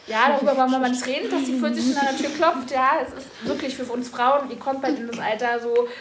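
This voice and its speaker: A woman in her thirties with a slightly hoarse voice, chatting casually and telling lively stories to schoolchildren. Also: high-pitched, fast talker, roomy sound, a crowd in the background. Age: 30s. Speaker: school first-aid staff answering pupils' questions.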